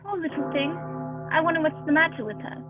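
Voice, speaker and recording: A woman playing a genial, avuncular old gentleman doctor who tells stories in a radio play. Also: sits high, animated, fairly quick. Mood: sympathetic. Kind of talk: radio play acting and narration. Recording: dull lo-fi dry audio, faint music.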